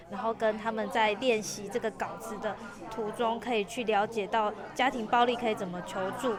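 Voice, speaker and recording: A woman with a Taiwanese Mandarin accent explaining in an interview as an event organizer. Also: fairly high pitch. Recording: noisy chatter in the background.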